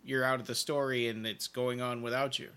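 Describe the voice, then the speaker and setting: Podcast guy, real bright sharp voice, casual review talk, kinda hesitant and measured. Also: medium pitch, flat delivery, smooth. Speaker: podcast co-host.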